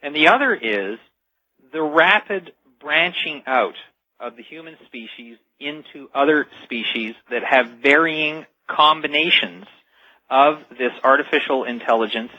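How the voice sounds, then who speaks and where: Bright, husky man explaining on radio. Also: on the high side, a little slow, dry recording. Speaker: guest expert and author. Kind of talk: explanatory radio interview talk. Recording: dull lo-fi radio sound.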